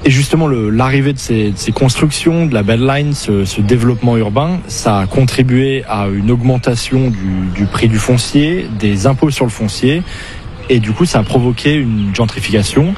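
A male reporter asking interview questions outdoors on a city street, halting, with fillers and restarts. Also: mid-range voice, darkish tone, a little hiss or hum.

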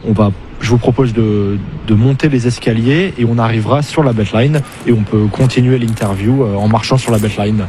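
A male reporter asking interview questions outdoors on a city street, halting, with fillers and restarts. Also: lowish voice, mellow, slight background noise.

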